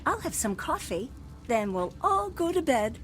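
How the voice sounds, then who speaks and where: A woman's voice: high-pitched, big pitch swings, measured pace, a bit bright, soft background music, heavily acted. A woman voicing an eccentric, sentimental aristocratic lady in a visual novel, gushing and childlike in a theatrical, sighing way. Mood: warm.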